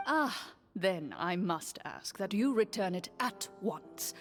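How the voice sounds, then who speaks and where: A woman's voice: medium pitch, lively intonation, takes it slowly, soft background music. A woman voicing a smug, scheming male prime minister with a pompous, condescending manner.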